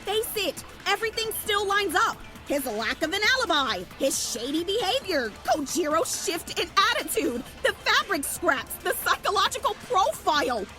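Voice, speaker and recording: Audio drama role: actress as smug young accuser, piercingly high, swooping, taunting delivery. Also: female voice, very animated, normal speed, few pauses, soft background music, heavily acted. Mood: combative. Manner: taunting, forceful, emphatic. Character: smug, relentless young female accuser in a trial. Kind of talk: melodramatic anime-style audio drama.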